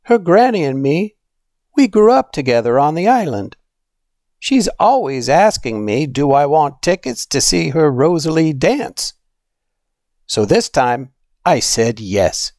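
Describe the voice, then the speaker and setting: A man's voice: a little high, big pitch swings, measured pace, a little mellow, dry recording, studio quality, pitched above the performer's own voice. A man voicing a proud, encouraging old Trinidadian grandmother in a storybook, folksy and emphatic.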